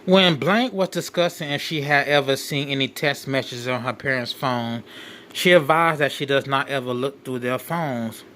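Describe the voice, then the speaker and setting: A man hosting a show, reading a document aloud with off-the-cuff commentary in a halting way, repeating and rephrasing as he goes. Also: mid-range voice, a bit bright, slight background noise. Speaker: late night show host.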